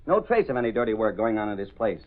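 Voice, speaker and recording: Cocky, slangy newspaper reporter, animated delivery, vintage radio drama, thin tinny recording. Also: male voice, fairly low pitch, fast. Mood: wry. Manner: slangy, wisecracking. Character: wisecracking, brash male newspaper reporter. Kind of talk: 1930s-40s radio drama acting. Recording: tinny, band-limited old radio recording.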